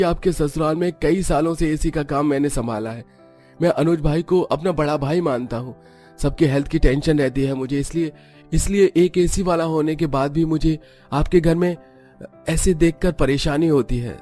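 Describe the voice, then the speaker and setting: Man doing an earnest high-pitched repairman. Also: warm tone, close to an everyday voice. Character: earnest, helpful young AC repairman, respectful. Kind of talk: Hindi cartoon story voice acting.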